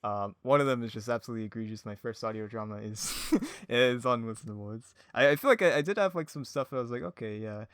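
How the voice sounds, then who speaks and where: A man's voice: mid-range voice, fast, few pauses, a bit bright, clear, resonant tone, no room sound, clean studio sound. A man chatting casually as a podcast guest, rambling, giggly and self-deprecating. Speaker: podcast guest, audio drama creator. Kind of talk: casual chat.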